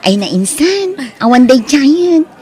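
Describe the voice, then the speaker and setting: A woman's voice: high-pitched, very animated, slow pace, warm tone, heavily acted. A woman playing a humble, forgiving young woman and dutiful daughter in an Ilocano audio drama. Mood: forgiving, calm.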